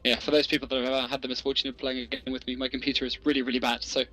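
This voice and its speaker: A man's voice: medium pitch, little pitch movement, racing, sharp tone, rough recording. A man hosting an esports match with casual, chatty commentary.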